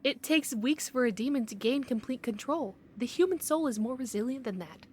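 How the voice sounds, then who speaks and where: A woman acting as a stubborn, bookish investigator in an audio roleplay. Her voice is high and she argues in precise, matter-of-fact terms, sceptical of anything unproven. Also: expressive, normal speed, slight background noise. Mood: insistent. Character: skeptical, bookish young female paranormal investigator, stubborn and by-the-book.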